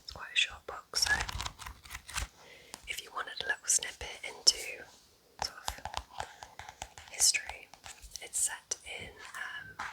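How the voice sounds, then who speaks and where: A woman whispering gently and unhurriedly in a breathy, chatty ASMR style as she recommends books. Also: takes it slowly, long pauses, low-quality recording. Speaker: ASMR video creator playing a kind witch.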